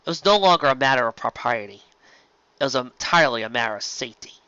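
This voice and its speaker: An expressive narrator reading scripted story fiction into a close microphone, on a slightly dull home recording. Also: mid-range voice, fast talker, clean tone, no echo. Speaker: fan fiction audiobook narrator.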